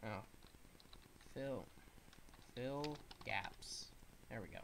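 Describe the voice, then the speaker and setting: A man's voice: mid-range voice, very slow, vocal fry, background noise. Stuffy-nosed young man narrating gameplay. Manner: rambling, explains controls as he plays. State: stuffed-up nose. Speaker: gaming streamer. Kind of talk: casual gameplay commentary.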